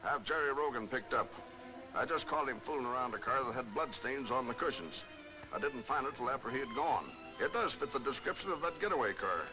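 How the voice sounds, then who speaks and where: A man playing a suspicious, brisk, matter-of-fact police detective in a 1940s movie serial. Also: medium pitch, expressive, natural voice, rough recording. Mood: brisk, urgent. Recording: old 1940s film soundtrack with music.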